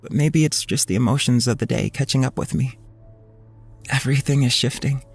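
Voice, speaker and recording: A man playing a sensitive young college freshman, narrating his feelings in measured first person for an audio drama. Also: medium pitch, gravelly, breathy edge. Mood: wistful. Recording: produced audio drama with music underneath.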